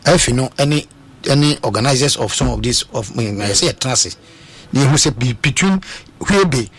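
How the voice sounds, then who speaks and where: A man's voice: medium pitch, animated, normal speed, a bit bright, slightly rough. A man with a Ghanaian accent giving football analysis on a sports talk show, hesitant, with many fillers and restarts, switching into Twi. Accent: Ghanaian English.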